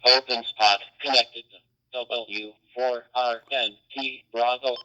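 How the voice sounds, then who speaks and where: Automated male announcer, slow and monotone, heard through a small, slightly noisy radio speaker. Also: mid-range voice, muffled recording.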